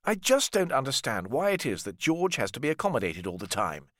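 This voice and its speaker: A man's voice: medium pitch, big pitch swings, fairly quick, a bit bright, no room sound, clean studio sound. A man reading an audiobook, voicing an upset, resentful younger brother from a wealthy family in an emphatic, indignant way. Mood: exasperated. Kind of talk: audiobook character dialogue.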